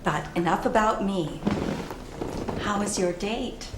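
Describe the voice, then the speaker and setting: An actress playing a pushy, tactless dating counselor who gives glib advice, recorded with a roomy echo. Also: female voice, mid-range voice, takes it slowly, very noisy, lightly acted. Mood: brisk. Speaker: counselor in a session. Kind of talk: film scene acting.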